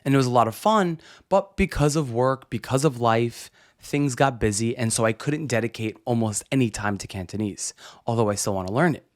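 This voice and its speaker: A man's voice: mid-range voice, brisk. A young adult man hosting a podcast, talking casually on his own with lots of "right?" tags and restarts. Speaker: podcast host. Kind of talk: casual solo podcast monologue.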